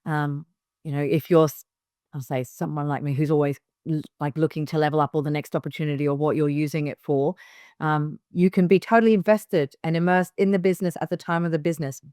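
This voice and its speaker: A woman's voice: sits low, fairly even intonation, fast, a little mellow, dry recording, studio quality. A middle-aged woman from Hong Kong, a business founder giving direct, emphatic advice in a casual interview, with frequent fillers and restarts.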